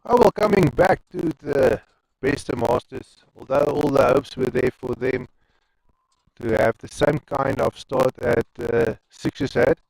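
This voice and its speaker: A man's voice: mid-range voice, expressive, unhurried, gravelly, darkish tone, no echo, rough recording. A man with an Afrikaans accent giving chatty live commentary on an amateur cricket match, wandering into rambling asides between balls. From South Africa.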